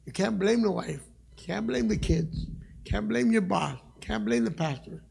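A middle-aged man with a raspy voice, preaching a sermon in a church as a guest evangelist, passionate and conversational, joking with the congregation. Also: high voice, lively intonation, a little slow, a little mellow. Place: church sanctuary.

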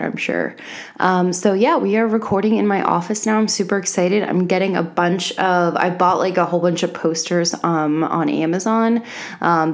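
A young woman hosting a podcast in casual chat from her home office, sounding a little sick and congested, and talking with lots of ums and likes. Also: on the low side. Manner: chatty, many fillers like um and like. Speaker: podcast host.

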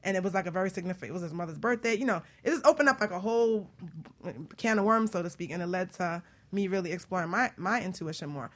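A woman hosting a talk show, chatting in a casual, informal and animated way. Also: on the low side, quick pace.

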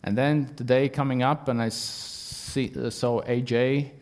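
A man preaches with a Latvian accent, searching for words in English and filling pauses with "um" and "uh." His delivery is earnest and plain, at an ordinary middle pitch for a man. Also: steady tone, a little mellow. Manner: halting English with many fillers, earnest. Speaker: guest preacher. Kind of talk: sermon.

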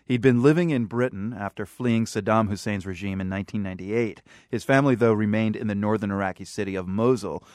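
A man hosting a radio news program, reading narration and asking calm, measured questions. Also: lowish voice, dry recording, clean studio sound. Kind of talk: news interview and scripted narration. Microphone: studio microphone.

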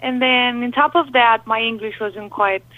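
A woman's voice: fairly high pitch, normal speed, dry recording. Romanian-accented woman on a tinny phone line. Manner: candid, animated storytelling with frequent fillers. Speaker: lead singer and guest. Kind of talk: phone interview. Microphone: telephone.

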